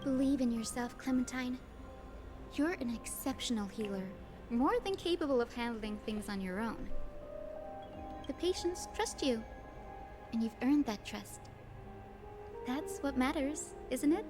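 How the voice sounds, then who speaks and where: High gentle game heroine girl, earnest n resolute, music behind her. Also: lively intonation, unhurried, pauses often. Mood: gentle, encouraging. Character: gentle, resolute young female healer heroine. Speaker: video game character voice. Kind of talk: scripted game dialogue.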